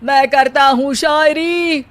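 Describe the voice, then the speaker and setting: Woman doing lazy young cartoon husband, high slow voice, recites everything like dramatic poetry, sorry for himself. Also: steady tone. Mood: aggrieved. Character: lazy young husband spouting rhyming couplets, self-pitying. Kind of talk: cartoon story acting.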